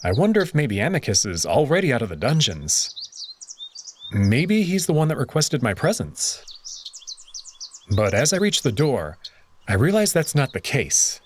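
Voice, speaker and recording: A man narrating a story in the first person in an expressive storytelling manner. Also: medium pitch, moderate pace, long pauses, a little hiss or hum. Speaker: audio drama narrator.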